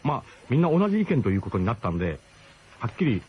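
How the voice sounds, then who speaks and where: A man playing an embarrassed camp cook in a radio drama, speaking casually in a halting, roundabout way. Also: mid-range voice, big pitch swings, husky. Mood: awkward, hesitant. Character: hesitant, embarrassed male camp cook, awkwardly diplomatic friend. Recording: dull, slightly noisy old radio broadcast.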